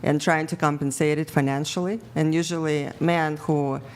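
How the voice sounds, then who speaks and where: A woman on a podcast panel explaining attachment styles slowly and carefully, with slightly nonnative phrasing. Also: low voice, steady tone, darkish tone. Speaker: panel guest. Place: bright studio with a large panel. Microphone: desk mic on arm.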